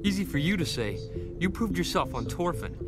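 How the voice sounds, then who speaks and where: Restless young male recruit for a sci-fi game. Eager, earnest, animated, slightly high. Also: a little fast. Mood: eager, determined. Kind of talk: video game voice acting. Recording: game music behind the voice.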